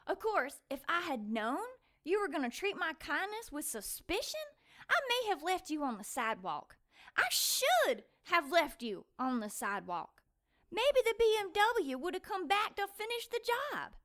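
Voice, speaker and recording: An actress playing an excitable, sassy young woman in an audio drama, sarcastic and quick to take offense. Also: very high-pitched, full character voice. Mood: indignant. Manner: sarcastic, feisty, fast-reacting.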